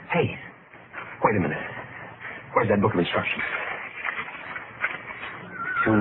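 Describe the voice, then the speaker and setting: A man's voice: lowish voice, phone-quality sound. An actor playing a meek, aggrieved man turned bitter and desperate in a 1950s radio drama. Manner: muttering, indignant, sputtering. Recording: noisy, band-limited old radio recording.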